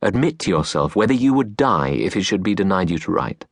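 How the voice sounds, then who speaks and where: A man narrating a literary audiobook of letters in a measured, earnest manner with deliberate phrasing, recorded in a studio. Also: deep voice, big pitch swings, no room sound. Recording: dry, close studio recording.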